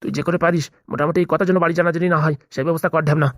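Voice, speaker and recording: Woman doing a worried shady politician man, cartoon style, really fast, dry sound. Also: sits low, fairly even intonation, no room sound, close to an everyday voice. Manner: fretful, complaining. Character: anxious, crooked male village politician. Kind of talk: comedy cartoon dialogue.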